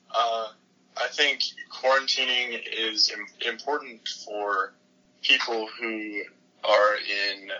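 A man of twenty answering interview questions thoughtfully, pausing between phrases. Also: fairly low pitch, bright, forceful tone, husky, lo-fi sound. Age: 20s.

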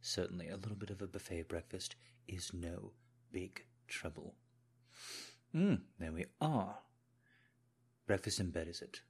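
A man plays an obsequious British manservant in an intimate audio roleplay. The voice is low and husky, with formal, deferential and apologetic manners toward his mistress. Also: measured pace, pauses often, full character voice. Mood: soft, obliging. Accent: put-on British.

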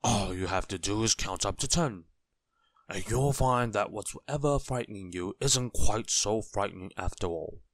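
Storybook role: man as kind-hearted tramp, lowish voice, calm and gentle, offering reassurance, dry close recording. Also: normal speed, no room sound. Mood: reassuring. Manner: gentle, calm, fatherly advice-giving.

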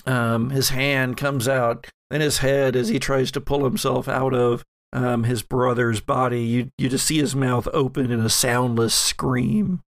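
Slow, gravelly male game master. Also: medium pitch, steady tone, no echo, studio quality. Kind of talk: improvised narration of a horror tabletop game.

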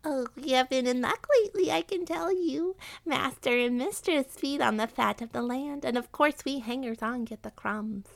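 A woman's voice: high voice, moderate pace, creaky, hardly any pauses, voice pushed up. A woman voicing an anxious, honourable male rat in a children's story, pleading in wordy, very polite phrases. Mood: eager, earnest. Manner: pleading, wordy, formally courteous. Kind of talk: children's animal storybook character.

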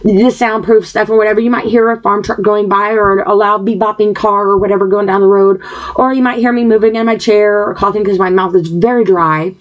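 A woman hosting a podcast, talking earnestly from home into her computer microphone, wandering off topic with lots of fillers and throat clearing, her mouth dry. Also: mid-range voice, steady tone, brisk, lo-fi sound. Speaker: podcast host. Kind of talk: rambling personal monologue.

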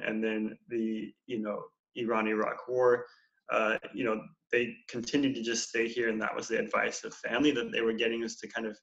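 A man in his forties, a counselor, telling his life story as a guest in a relaxed, meandering way with many fillers. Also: mid-range voice. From United States. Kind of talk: casual interview. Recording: dull, echoey room sound.

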